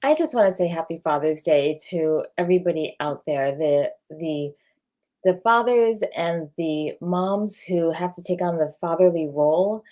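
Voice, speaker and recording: Earnest woman with a mellow, low voice. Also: measured pace. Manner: earnest, repeating words while searching for them. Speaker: panelist. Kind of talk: casual panel chat.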